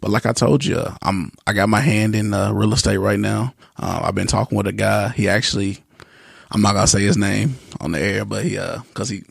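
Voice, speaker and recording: A man's voice: fairly low pitch, brisk, gravelly, breathy edge, no echo, clean studio sound. A young man as a podcast guest, talking in a casual, easygoing way with lots of fillers and restarts. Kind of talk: casual interview talk.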